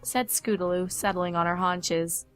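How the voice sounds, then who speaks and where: A woman narrates a scripted story in a slightly low voice. Faint music plays behind her reading. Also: fairly even intonation, a little slow.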